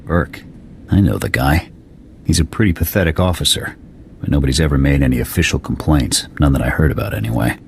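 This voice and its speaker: A man playing a gruff, blunt, skeptical military lieutenant in a video game, with a clipped no-nonsense manner. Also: a bass voice, animated, a little fast, a little hiss or hum, heavily acted. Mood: dry, blunt. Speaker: military officer. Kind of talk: scripted video game acting.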